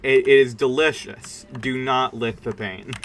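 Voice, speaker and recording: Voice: medium pitch, steady tone, unhurried, noisy background. A streamer chatting casually during a game livestream in a rambling, informal and emphatic way. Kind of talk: casual livestream chat while gaming.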